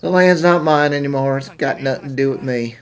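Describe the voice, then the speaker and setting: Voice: medium pitch, fairly quick, natural voice. An actor playing a timid, whiny, emotional young male farmer in a cartoon fan dub, plaintive and hesitant. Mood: resigned, glum.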